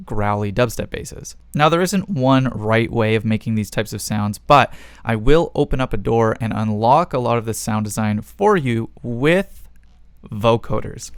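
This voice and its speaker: A man's voice: mid-range voice, clear, resonant tone, dry recording, clean studio sound. A man hosting a music production tutorial, explaining things step by step in a casual way.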